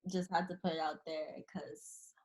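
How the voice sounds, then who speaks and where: A young college woman from the Boston area introduces herself on a video call. Her voice sits a little low, and she talks shyly, trailing off with soft laughs. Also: flat delivery, normal speed, rough recording. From Boston area, USA. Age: young adult. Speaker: guest. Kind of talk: casual chat on a video call.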